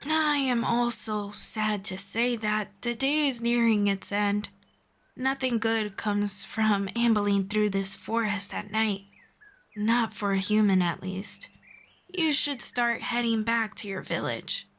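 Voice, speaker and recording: A woman playing a friendly, curious elf in a roleplay audio drama, speaking in a gentle, measured and formal way to one listener. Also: mid-range voice. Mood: gently cautioning.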